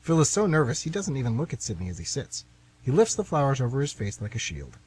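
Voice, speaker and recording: A man's voice: medium pitch, huge pitch swings, fast, darkish tone, slight background noise, rough recording. A man narrating and announcing a scripted radio theatre play.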